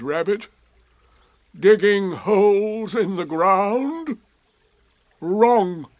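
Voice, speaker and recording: A man voicing a gloomy, sarcastic old donkey in a children's story, with slow, deadpan, dignified drawling. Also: high-pitched, dry recording, pitched above the performer's own voice. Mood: dry sarcasm. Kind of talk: children's storybook character reading.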